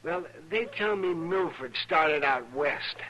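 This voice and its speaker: An actor playing a testy, sarcastic, grumbling town doctor with an American accent in an old radio western. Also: male voice, fairly high pitch, animated, slow pace, pushed and bright, heavily acted. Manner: crotchety, grumbling. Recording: faintly noisy old radio recording.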